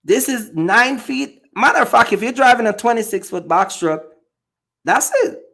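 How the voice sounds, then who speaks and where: A man's voice: sits high, expressive, normal speed, creaky, a bit bright. A man from Jamaica, a box truck driver chatting loosely on a livestream with many fillers and repeated words. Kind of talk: casual livestream chat.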